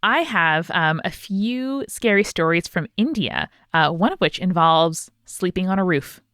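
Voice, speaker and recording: A woman's voice: on the low side, lively intonation, measured pace, fairly bright tone, no room sound, studio quality. A woman hosting a podcast, chatting casually and reading listener stories aloud. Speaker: podcast host.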